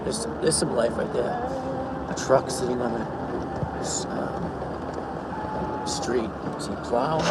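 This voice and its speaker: Hoarse man talking while driving. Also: medium pitch, slow, long pauses. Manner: rambling, personal, matter-of-fact. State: hoarse, losing his voice. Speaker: vlogger. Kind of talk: casual talk while driving. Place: inside a car driving through snow. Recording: far from the mic, loud road noise.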